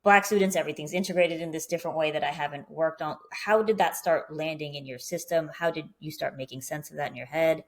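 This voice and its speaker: Woman, low voice, podcast host, reflective interviewing, deliberate phrasing, mirrors and questions. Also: fairly even intonation. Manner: deliberate, mirrors back what she hears. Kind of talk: reflective therapy-style interview.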